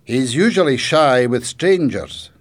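A man voicing a kindly older grandfather in a storybook, proud and a little sad, speaking in an emphatic, deliberate way. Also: medium pitch, expressive, voice pushed up, natural voice.

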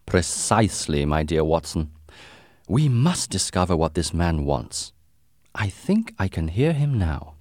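A man voicing a brilliant, brisk English gentleman detective in an audiobook, commanding, precise and confident. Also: sits low, sing-song, no echo, clean studio sound. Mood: brisk, assured. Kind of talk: audiobook character dialogue.

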